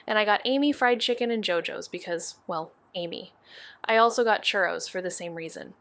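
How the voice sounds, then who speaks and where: A woman playing a wry, wary young amateur detective in a noir podcast drama, with a deadpan, sardonic way of talking. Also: medium pitch, fairly quick, no room sound, natural voice. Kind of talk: first-person noir podcast drama narration and dialogue.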